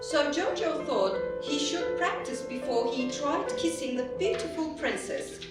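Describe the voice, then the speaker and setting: Higher-voiced woman narrator, very echoey. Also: expressive, a little slow. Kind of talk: scripted readers theatre storytelling. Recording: very echoey room, distant, music behind.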